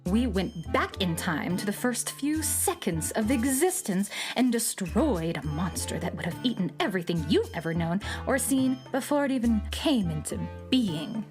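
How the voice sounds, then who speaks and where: A woman's voice: medium pitch, sing-song, normal speed, few pauses, music in the background, lightly acted. A woman playing a jealous, haughty young sidekick in a children's radio play, snooty and sarcastic. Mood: boastful. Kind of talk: children's radio theater acting.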